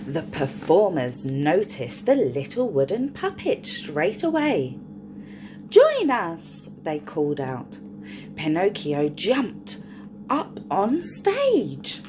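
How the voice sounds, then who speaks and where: Animated sing-song woman reading to kids. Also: low-pitched, slow, background noise. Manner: animated, sing-song, asks the listener questions. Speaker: storyteller for children. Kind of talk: reading a picture book to young children.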